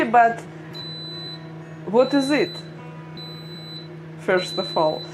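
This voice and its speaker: A woman's voice: a little high, background noise. A young woman with a Ukrainian accent chatting casually on a livestream, laughing often and speaking in slightly broken English. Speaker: livestream vlogger. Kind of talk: casual livestream chat reading viewer comments. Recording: music playing behind.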